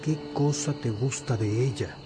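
An actor playing a wise, patient priest and teacher in a religious radio drama, gently questioning and instructing. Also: male voice, medium pitch, a little slow, lightly acted. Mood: probing, earnest. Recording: music behind the voice.

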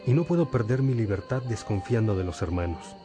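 An actor playing a calm, fatherly bishop who instructs a novice in a measured way in a religious radio drama. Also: on the low side, over music, close to an everyday voice.

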